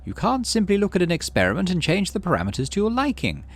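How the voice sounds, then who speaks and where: A man's voice: high voice, wildly swooping pitch, quick pace, voice pushed up. A man reading the lines of a strict female chief engineer who scolds a young colleague, in a game playthrough. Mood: reproachful. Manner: strict, clipped reprimand.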